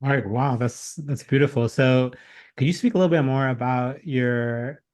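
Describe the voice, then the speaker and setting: A man hosting an interview, asking polite, measured questions. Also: mid-range voice, a little mellow. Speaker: interview host. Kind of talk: podcast interview.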